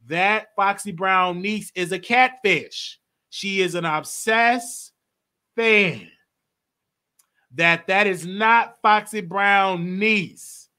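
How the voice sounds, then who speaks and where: A man's voice: sits high, sharp tone. A man in his late twenties hosting a live call-in show, chatting casually and laughing often. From Texas. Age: 20s. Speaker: host.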